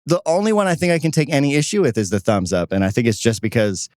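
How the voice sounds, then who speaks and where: A man hosting a podcast, talking in an animated, conversational way. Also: medium pitch, fast, no echo, clean studio sound. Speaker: podcast co-host. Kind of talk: casual chat and host-read sponsor spots.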